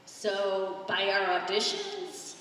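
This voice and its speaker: A woman speaks to a panel audience in a mid-pitched voice, animatedly telling a story and acting out what she said back then. The voice sounds far from the mic, with a long, reverberant hall around it and a faint hiss. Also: slow. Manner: animated storytelling, quotes herself. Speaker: voice actress on a panel. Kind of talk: casual panel talk. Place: large convention hall.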